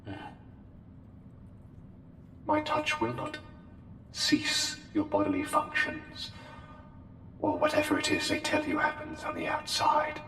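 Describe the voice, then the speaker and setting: A man voicing an otherworldly, courtly plague doctor in an intimate ASMR roleplay, speaking formally and deliberately with hesitant pauses. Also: extremely high pitch, huge pitch swings, bright, forceful tone, voice pushed up, full character voice. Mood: clinical, curious. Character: courtly, intense male plague doctor, an otherworldly anomalous being. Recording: echoey hall reverb, music behind. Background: music.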